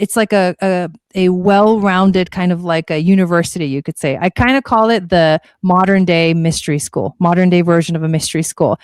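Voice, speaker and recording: A woman's voice: on the low side, a little mellow, no room sound. A woman from Argentina who grew up in California, speaking as a guest teacher, explaining things in a chatty, casual way and often rephrasing. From born in Argentina, grew up in California. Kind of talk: casual explanatory interview talk.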